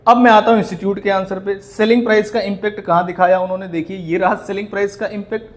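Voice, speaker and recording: A man's voice: high voice, steady tone, quick pace. An Indian man teaching in an explanatory commentary style, scolding and indignant, with emphatic rhetorical questions. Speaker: accounting teacher. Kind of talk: explanatory video commentary on exam answer sheets.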